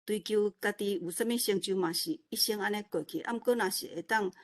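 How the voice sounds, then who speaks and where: 台湾口音女性，随性而絮叨. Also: female voice, medium pitch, fairly quick. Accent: Taiwanese. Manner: rambling, repeats words while searching for them. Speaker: new organiser of an online literature event. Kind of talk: informal unscripted self-introduction. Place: online video meeting.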